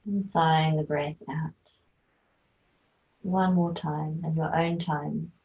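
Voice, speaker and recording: Woman teacher, guided relaxation: low, flat and unhurried, soft and soothing, thin phone-like sound. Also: creaky. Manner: slow, soft, soothing instruction. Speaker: yoga nidra teacher.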